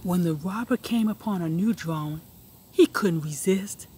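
A woman reading a children's picture book aloud, expressively and with deliberate emphasis. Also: fairly low pitch, dark tone, a little hiss or hum. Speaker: storybook reader. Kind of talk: children's read-aloud narration.

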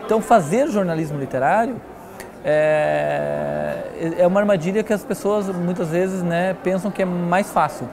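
A man's voice: high voice, normal speed, creaky, crowd noise. A man in his forties with a Brazilian accent, answering interview questions in an emphatic, conversational way with repetition and frequent tag questions. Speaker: interview guest, literary newspaper editor.

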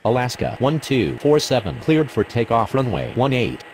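A man voicing an airline pilot and clearance exchanges in a game, in clipped, procedural radio phraseology. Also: mid-range voice, sing-song, moderate pace, darkish tone, a little hiss or hum. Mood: businesslike.

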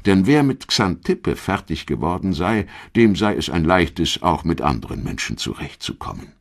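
A man with an exceptionally low voice delivers scripted documentary narration. His storytelling is measured and articulate, with lively, wide-ranging intonation that rises and falls through the sentences. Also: creaky.

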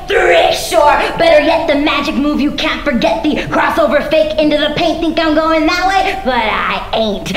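A woman delivers spoken-word poetry with theatrical emphasis. Her voice sits fairly high, and the live room adds clear echo around her stand microphone. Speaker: spoken-word poet performing on stage. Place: large meeting room before a seated audience. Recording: echoey live room recording.

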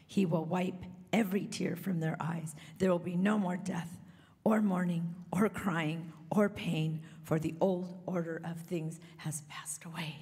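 Hoarse woman reading scripture in a hall. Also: lowish voice, fairly even intonation, measured pace, warm tone. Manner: earnest, careful reading. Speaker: scripture reader. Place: church sanctuary stage. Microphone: handheld microphone, far camera. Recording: reverberant hall.

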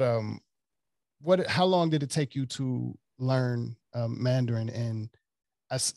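A man's voice: medium pitch, creaky, darkish tone, no echo. A man hosting a podcast, asking casual questions that halt and restart as he phrases them, recorded in a small treated studio. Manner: halting, self-correcting questions. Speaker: podcast host. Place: small studio with acoustic panels, indoors. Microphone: studio microphone on a desk.

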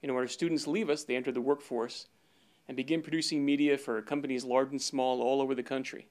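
A man's voice: medium pitch, fairly even intonation, fast, a little mellow. A man in his fifties, a production coordinator, giving a scripted address to camera. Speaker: film production coordinator presenting. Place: indoors before a curtain backdrop.

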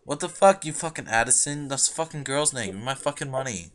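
A man's voice: mid-range voice, expressive, fast, a bit bright. A young man arguing on an internet voice call, aggressive and profane, demanding the same thing over and over. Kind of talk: heated argument on an internet voice call.

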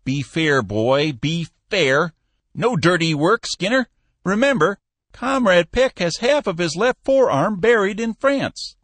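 A man voicing a blustery, excitable old company boss for an audiobook, exclamatory and emphatic. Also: high voice, a little slow, dry recording, voice pushed up, heavily acted. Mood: stern, blustering. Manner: exclamatory, emphatic, folksy oaths. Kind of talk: audiobook character voice.

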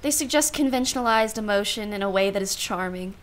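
A woman's voice: mid-range voice, brisk, close to an everyday voice. A woman playing a wry, genteel aunt in a radio play, musing in an arch, formal way. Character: wry, genteel aunt, self-professedly unsentimental.

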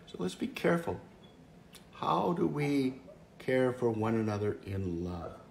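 A man's voice: mid-range voice, big pitch swings, measured pace, creaky. A man in his fifties, a pastor giving an earnest, conversational home devotional from his living room, often restarting his phrases. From Wisconsin, US. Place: living room by a fireplace, indoors. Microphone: camera or phone close up, seated. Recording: echoey room, faint background hiss.

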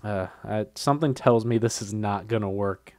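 A man's voice: fairly low pitch, normal speed. Flustered young man with a cold. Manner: swearing, laughing, easily flustered. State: getting over a cold, sniffling. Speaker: gameplay commentator. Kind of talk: casual let's play commentary, reading game text aloud.

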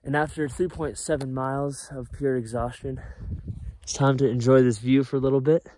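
A man's voice: medium pitch, steady tone, normal speed, dark tone, slight background noise. Upbeat young man vlogging on a trail. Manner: chatty, upbeat, a bit winded while hiking. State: out of breath. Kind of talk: casual hiking vlog to camera. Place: outdoors on a snowy mountain trail. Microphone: phone held at arm's length.

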